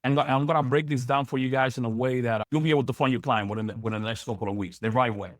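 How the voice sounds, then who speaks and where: Business coach, male, young, from the Dominican Republic; quick, energetic lecture full of restarts. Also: medium pitch, dry recording, clean studio sound. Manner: energetic, repeats words, many fillers. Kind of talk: live online training lecture.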